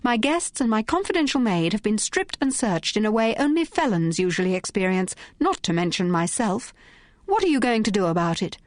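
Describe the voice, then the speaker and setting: A woman's voice: medium pitch, sing-song, brisk, no room sound, pitched above the performer's own voice, lightly acted. Woman voicing a cool, commanding lady sleuth. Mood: indignant. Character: poised, sharp-witted young woman detective.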